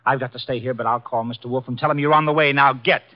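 An actor playing a brisk, tough, wisecracking detective's assistant in a 1950s radio drama, with a punchy, wry, colloquial delivery. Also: male voice, mid-range voice, fairly even intonation, lightly acted. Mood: urgent, firm. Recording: dull 1950s radio recording with music.

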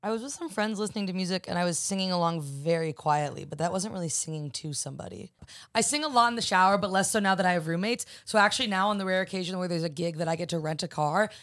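Young female comic, husky and hoarse, rambly casual talker. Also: on the low side, fast talker, a bit bright. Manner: rambling, joking, many fillers. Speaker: podcast co-host, comedian. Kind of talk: casual chat.

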